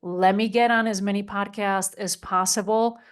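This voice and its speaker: A woman's voice: medium pitch, fairly even intonation, moderate pace, clear, resonant tone. A middle-aged woman from Spain, a podcast guest talking in a chatty way with many "like" and "you know" fillers. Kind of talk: interview conversation.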